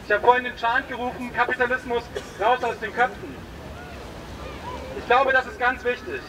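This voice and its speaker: A man's voice: extremely high pitch, fairly even intonation, normal speed. A man giving an informal speech through a megaphone at a street protest, with many hesitations. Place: street blockade outdoors. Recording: distant outdoor recording with street noise.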